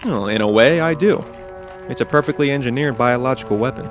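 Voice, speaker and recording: A grave scientist of monsters, acted by a man in an audio drama. He talks in a measured, portentous way at a middling pitch. Music plays clearly behind him. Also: close to an everyday voice. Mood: fascinated, ominous. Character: thoughtful male monster scientist, grave and fascinated.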